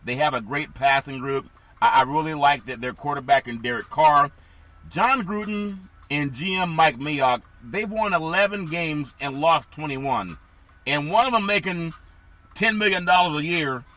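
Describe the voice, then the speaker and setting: A man hosting a casual sports talk show, his voice a little scratchy and raspy, rambling through teams before turning to his co-host. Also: fairly high pitch, animated, moderate pace, sharp tone. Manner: rambling, asks co-host for thoughts.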